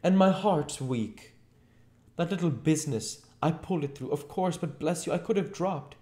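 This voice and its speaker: A man's voice: fairly high pitch, normal speed, darkish tone, voice pushed up, natural voice. A man voicing a fretful, frightened tramp close to tears in an audiobook, pleading in a plaintive way. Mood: miserable pleading. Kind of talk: audiobook character voice. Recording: echoey room.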